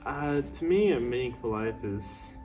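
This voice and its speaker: A man's voice: mid-range voice, expressive, a little slow, a little mellow. A young man, a student, giving hesitant, stammering interview answers. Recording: distant mic with music underneath.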